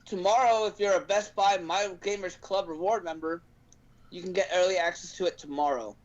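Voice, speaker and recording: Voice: medium pitch, steady tone, fairly quick. A podcast host talking casually and emphatically about gaming news, ranting at length. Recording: dull lo-fi stream audio.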